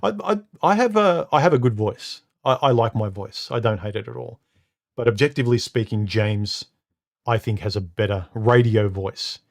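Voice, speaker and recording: A man with a deep, somewhat muffled voice hosting a live stream from home into a microphone, talking casually and haltingly, repeating words and filling pauses with uh and um. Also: lively intonation, vocal fry. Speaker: live stream host reading comments. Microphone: studio microphone.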